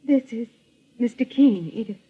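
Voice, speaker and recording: Breathy, high, pleading young woman. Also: animated, moderate pace. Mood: distressed, pleading. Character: grieving, pleading young woman, gentle and distressed. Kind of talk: 1940s radio melodrama acting. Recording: muffled old radio recording.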